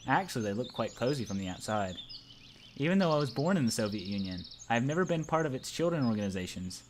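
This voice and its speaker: A man's voice: mid-range voice, big pitch swings, fast, a little hiss or hum. A man reading a visual novel aloud among friends, narrating informally and tripping over the script now and then. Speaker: narrator of a let's play. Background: faint game music.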